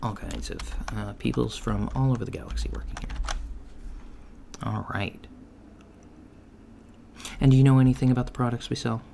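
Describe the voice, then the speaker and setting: A man playing an easygoing, reassuring alien job interviewer in a soft-spoken ASMR roleplay, talking casually and haltingly and trailing off. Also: medium pitch, steady tone. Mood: friendly, matter-of-fact. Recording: lo-fi with clear background noise. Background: computer keyboard typing.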